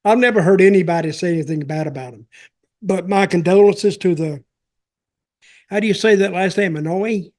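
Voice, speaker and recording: A man's voice: high-pitched, moderate pace, darkish tone. An older man telling anecdotes casually, in a rambling, folksy and wry way. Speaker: podcast host, former wrestling performer.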